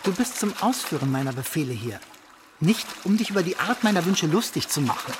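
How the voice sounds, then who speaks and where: A man's voice: a little high, sing-song, brisk, background noise. An actor playing a bitter, jealous, tormented man in a radio drama, with a literary, theatrical delivery. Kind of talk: radio drama acting with first-person narration.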